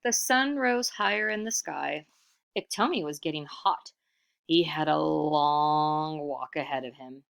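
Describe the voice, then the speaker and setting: A woman reading a children's picture book aloud expressively, pausing for casual comments and questions. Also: sits low, gravelly, clean tone. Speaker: storybook reader.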